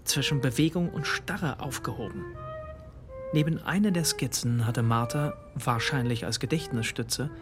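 Reflective male narrator played by an actor, first-person radio drama. Mid-pitched, musing delivery, clear music underneath. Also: moderate pace, lightly acted. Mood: reflective, wondering. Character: reflective grandson, fascinated male narrator of a discovery. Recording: music behind the voice.

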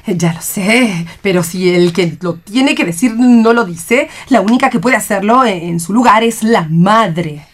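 Una actriz interpreta a una madre tierna y devota, con tono lírico y declamatorio de teatro leído por radio. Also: female voice, medium pitch, a little slow, few pauses, lightly acted. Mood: firm resignation. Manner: lyrical, declamatory. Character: tender, devoted mother in Pierrot costume. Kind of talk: theatrical radio play reading.

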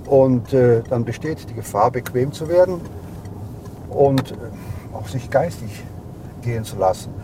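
A man in his seventies, a father being interviewed, talking in a halting, reflective, unscripted way. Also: mid-range voice, expressive, background noise. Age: 70s. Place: moving car.

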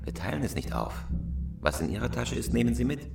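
A man's voice: medium pitch, very animated, brisk, gravelly, loud music behind the voice. An actor playing a hardened male professional robber in a crime radio drama, terse and unruffled. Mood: cool, curt. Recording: roomy, distant from the mic.

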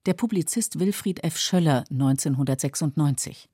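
A woman with a mellow, husky voice reading scripted documentary narration. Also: low-pitched, moderate pace, dry recording, clean studio sound.

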